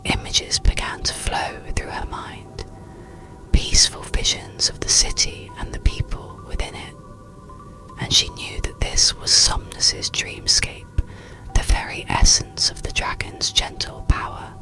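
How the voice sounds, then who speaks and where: Asmr bedtime story narrator, breathy whispering, crisp and bright, gentle and soothing. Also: measured pace, faint music behind, poor audio.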